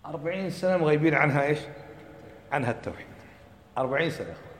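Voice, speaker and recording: A man giving an impassioned religious lecture, firing off rhetorical questions and dropping to a low voice for emphasis. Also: expressive, rapid-fire, a little hiss or hum. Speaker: religious teacher. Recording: echoey hall recording.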